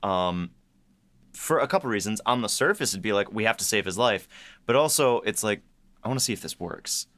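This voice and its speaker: A man's voice: lowish voice, animated, brisk, fairly bright tone. A man co-hosting a casual behind-the-scenes writers' chat, talking informally and jokily, often acting out his own thoughts. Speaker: podcast host and audio drama writer.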